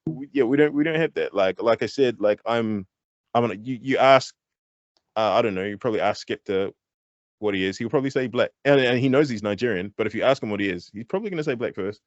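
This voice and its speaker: A man with a New Zealand accent, a hip-hop artist guesting on an interview, talking candidly with quick restarts and lively pitch jumps. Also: dry recording, dull audio. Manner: animated, thinks aloud with many restarts. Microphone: online call.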